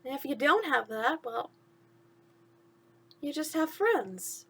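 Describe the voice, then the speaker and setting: A woman's voice: high voice, big pitch swings, takes it slowly, a bit bright. A woman hosting bedtime stories, speaking simply and encouragingly to young listeners. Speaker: host of a bedtime story series. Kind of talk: storytelling to children.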